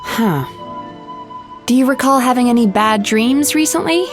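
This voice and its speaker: A woman voicing a composed, gentle young dream therapist who explains things calmly in an anime-style video game. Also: fairly high pitch, a little slow, soft background music. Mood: serious, explanatory.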